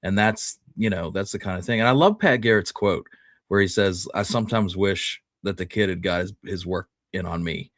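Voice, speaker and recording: A man's voice: sits low, moderate pace, no room sound, studio quality. A man co-hosting a history show, explaining things in a casual, conversational way. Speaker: podcast co-host.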